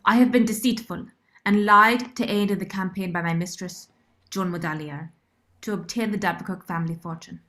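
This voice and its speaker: A woman playing a remorseful, loyal nursemaid in a period radio drama, giving an earnest, solemn and deliberate monologue. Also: mid-range voice, a bit bright, natural voice. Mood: solemn, confessional. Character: Runa, remorseful, loyal Indian nursemaid confessing in writing.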